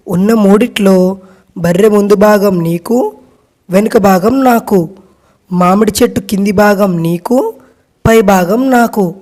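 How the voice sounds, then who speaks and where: A performer acting male family roles in a moral story, with deliberate phrasing and pauses. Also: medium pitch, darkish tone, dry recording, lightly acted. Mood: calculating. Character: dying loving father, and selfish cunning elder brother. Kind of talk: acted story dialogue. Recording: music under the voice. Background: music.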